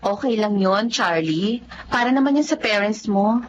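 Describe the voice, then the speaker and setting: A woman's voice: medium pitch, animated, normal speed, muffled recording, noisy background, lightly acted. An actress playing a friendly, coaxing young woman in a radio drama. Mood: easygoing. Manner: friendly, cajoling. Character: chatty, coaxing young events coordinator, Danica. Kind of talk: Filipino radio drama acting and narration.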